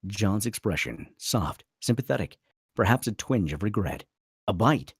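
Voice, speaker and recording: A man's voice: on the low side, lively intonation, moderate pace, husky, dry recording, clean studio sound. A man narrating a screenplay dramatically at a table read.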